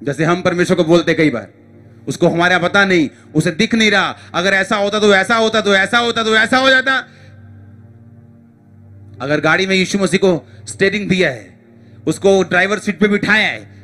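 A man's voice: high-pitched, fairly bright tone. A male pastor preaches to a congregation. He is emphatic and exhorting, hammering phrases by repetition. Music plays behind his voice. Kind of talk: impassioned church sermon to a congregation.

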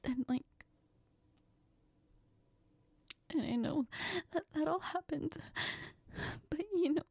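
A woman acts out a heartbroken young woman in an intimate audio drama, tearful and apologetic. She speaks slowly and haltingly, often dropping to a whisper, with a creaky catch in the voice. The sound is close and dry. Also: high-pitched, warm tone, no room sound. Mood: wistful, hurt. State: crying. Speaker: audio role-play performer. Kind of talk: intimate girlfriend audio role-play, ASMR-like.

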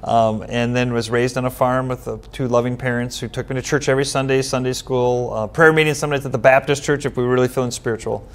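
Middle-aged pastor, steady and earnest. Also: male voice, medium pitch, fast talker, hardly any pauses. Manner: steady explaining tone, frequent "um" fillers. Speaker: United Methodist pastor, guest. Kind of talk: earnest interview answers. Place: studio set with bookshelf backdrop, seated at table.

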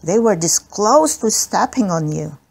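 A resourceful young girl from a children's adventure story, read by a woman. She explains and instructs in a calm, practical way, at a middle pitch. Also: a little slow, vocal fry, husky, heavily acted. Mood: explaining.

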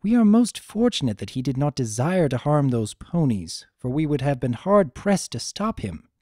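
A man's voice: a little high, very animated, moderate pace, a little mellow, dry recording, clean studio sound. A man voicing a proud, formal pony princess, a concerned younger sister with archaic, emphatic speech in a dramatic story reading. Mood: grave, formal.

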